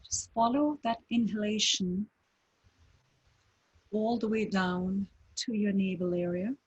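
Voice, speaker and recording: A woman guiding a breathing meditation, speaking calmly with long pauses between short phrases. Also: medium pitch, slightly rough, highs cut off. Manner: slow, calm, with long pauses. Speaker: meditation teacher.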